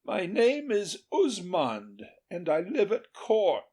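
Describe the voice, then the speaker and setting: A courteous, regal storybook king as a male narrator plays him, speaking in formal, stately phrases. His pitch sits high and swings widely, with a good-humoured, noble temper. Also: wildly swooping pitch, takes it slowly, mellow, slightly rough, exaggerated voice. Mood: gracious.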